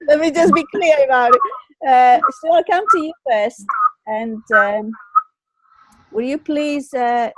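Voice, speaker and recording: High-voiced Greek woman hosting, effusive. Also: expressive, slow pace, long pauses, no room sound, rough recording. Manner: effusive, hesitant, repeats words and phrases. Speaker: show host. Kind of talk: casual talk show hosting.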